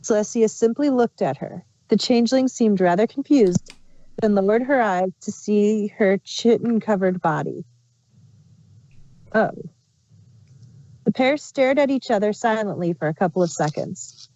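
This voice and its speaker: A woman's voice: mid-range voice, moderate pace, dark tone, lo-fi sound. A woman reading scripted fan fiction aloud as the narrator.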